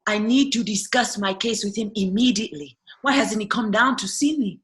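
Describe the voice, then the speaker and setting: A woman's voice: medium pitch, moderate pace, a bit bright, lightly acted. A woman playing an imperious, indignant fairy-tale prisoner who speaks formally and insistently in a radio play. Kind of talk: irreverent radio play acting.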